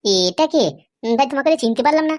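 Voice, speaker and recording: A woman's voice: high voice, expressive, quick pace, darkish tone. A woman playing a cagey, bargaining village drinker with a childlike voice and hesitant manner in a comedy cartoon. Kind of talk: amateur comedy cartoon dialogue.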